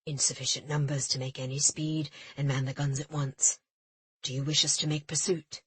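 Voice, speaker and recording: A woman voicing a calm, smooth-talking Englishman. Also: on the high side, normal speed, no echo, voice pushed down. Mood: calm, measured. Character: calm, smooth-spoken male ship's officer.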